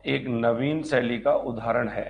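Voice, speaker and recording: Male exam-prep history lecturer, medium pitch, dictates notes didactically and restates each point with quick questions. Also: steady tone, creaky, phone-quality sound, slight background noise. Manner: didactic, repeats points and asks rhetorical check questions. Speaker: history teacher for exam preparation.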